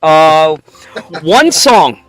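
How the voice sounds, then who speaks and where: A man hosting a show, running a playful quick-fire question game with a guest, joking and laughing often. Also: a little high, lively intonation, fairly bright tone, rough recording. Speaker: show host.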